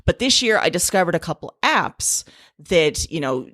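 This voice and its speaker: A woman's voice: on the low side, big pitch swings, normal speed, a bit bright, no echo. A woman in her forties co-hosting a podcast, chatting casually and laughing easily. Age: 40s. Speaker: podcast co-host. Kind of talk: casual chat.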